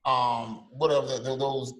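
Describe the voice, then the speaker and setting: An older man co-hosting a casual podcast, sarcastic and animated, often stammering as he restarts his sentences. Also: medium pitch, moderate pace, reverberant, poor audio. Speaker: podcast co-host. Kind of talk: casual opinionated chat.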